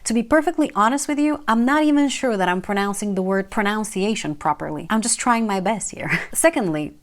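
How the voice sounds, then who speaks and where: A woman's voice: medium pitch, brisk. A woman with a Bulgarian accent, a native Bulgarian speaker, talking frankly and wryly as a book reviewer in a casual video. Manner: frank and wry, earnest.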